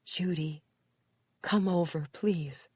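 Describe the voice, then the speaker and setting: A woman's voice: lowish voice, takes it slowly, a little mellow, husky, no echo, voice pushed up. Woman narrator as a tender, worried older aunt. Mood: tender, concerned. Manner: tender, concerned questioning. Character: affectionate, ailing older aunt, anxious and tender.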